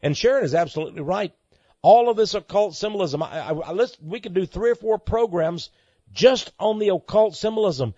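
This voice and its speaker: A man's voice: high-pitched, expressive, fairly quick, mellow, dry recording, muffled recording. A man speaking as a talk show host and preacher, in an impassioned, emphatic monologue that repeats phrases for dramatic effect.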